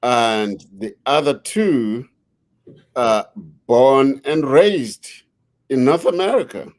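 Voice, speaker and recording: A middle-aged man hosting an interview, a father of three, speaking deliberately with frequent fillers as he frames his questions. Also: mid-range voice, darkish tone. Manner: slow, deliberate, with many fillers. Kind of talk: conversational interview.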